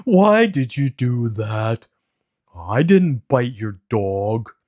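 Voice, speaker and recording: A man voicing a big, cowardly male lion who speaks slowly and mournfully. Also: mid-range voice, very animated, a little mellow, voice pushed up, heavily acted. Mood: hurt. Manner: slow, drawn-out, mournful delivery.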